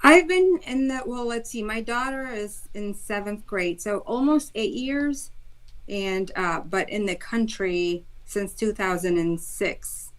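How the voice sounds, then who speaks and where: A woman in her thirties from Iraq, a guest on an interview, telling her life story in an earnest, animated way. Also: medium pitch, slow, poor audio. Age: 30s. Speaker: guest, school board candidate.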